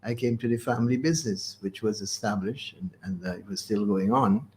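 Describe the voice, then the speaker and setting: A man's voice: lowish voice, fairly quick, a little mellow. A man, reminiscing as an interview guest in a hesitant, rambling way that circles back on itself. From Caribbean. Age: 80s. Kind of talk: unscripted reminiscing in a radio interview.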